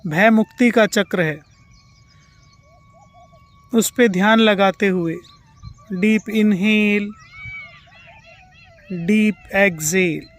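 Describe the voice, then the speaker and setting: An Indian yoga nidra instructor guiding relaxation in a calm, soothing way with drawn-out instructions and pauses. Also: mid-range voice. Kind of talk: guided relaxation meditation.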